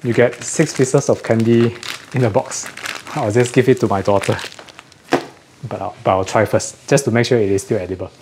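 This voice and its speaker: A man's voice: fairly low pitch, steady tone, moderate pace. Man chatting casually over noise. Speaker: host of an audio gear channel. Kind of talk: casual unboxing chat.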